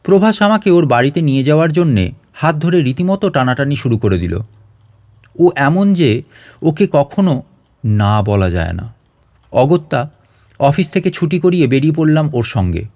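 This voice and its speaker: A man's voice: on the high side, big pitch swings, normal speed, long pauses, darkish tone, no room sound. A man reading a story aloud as a dramatic scripted audiobook narration.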